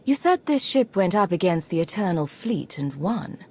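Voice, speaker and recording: A woman playing a skeptical, practical agent commander in a sci-fi game, with dry, measured, questioning delivery. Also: fairly low pitch, very animated, mellow.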